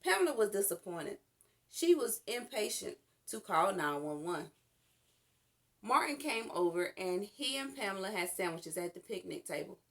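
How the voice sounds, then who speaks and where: A woman reading a children's storybook aloud in an expressive, deliberate narrating style. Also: medium pitch. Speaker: storytime reader.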